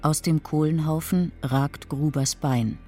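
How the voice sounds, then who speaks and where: An actress playing a sober narrator in a radio play, reading stark scene descriptions. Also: female voice, sits low, steady tone, measured pace, mellow, faint music behind.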